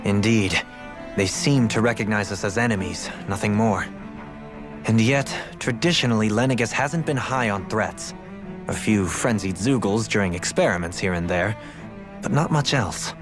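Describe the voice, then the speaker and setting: Man acting aristocratic young game lord, lowish voice, melancholy, eloquent and measured, faint music behind. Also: close to an everyday voice. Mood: grave, thoughtful. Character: refined, melancholy young lord burdened by guilt. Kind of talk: dramatic video game voice acting.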